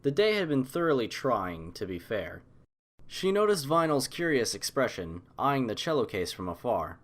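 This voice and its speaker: A man reads scripted fiction as the narrator of an audio story. His voice is mid-pitched, and his intonation rises and falls in a lively, expressive way. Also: normal speed.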